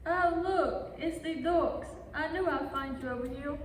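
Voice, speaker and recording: Woman playing a high, sneering schoolboy bully puppet. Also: a little slow. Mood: mocking. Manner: taunting, insulting. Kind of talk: puppet show comedy. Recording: echoey hall, distant mic, faint noise.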